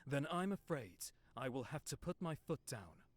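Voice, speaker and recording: A man's voice: medium pitch, very animated, moderate pace. A man acting an earnest, protective young prince with regrets, in a visual novel game. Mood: firm, protective. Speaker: game character.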